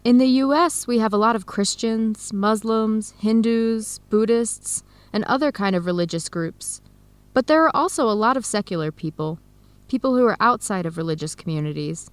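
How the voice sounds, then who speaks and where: A woman's voice: fairly high pitch, moderate pace, clear, resonant tone, no echo, natural voice. An actress playing a friendly, curious and candid young woman in an educational radio drama. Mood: thoughtful.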